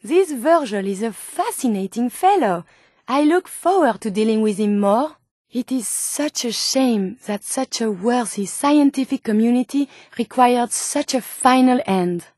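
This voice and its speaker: A woman's voice: on the high side, lively intonation, unhurried, darkish tone, dry recording, studio quality. A woman voicing an eager, idealistic robot scientist in a video game, speaking precise, formal lines with a French accent. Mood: eager wonder, then troubled, moral concern. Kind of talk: scripted video game companion dialogue.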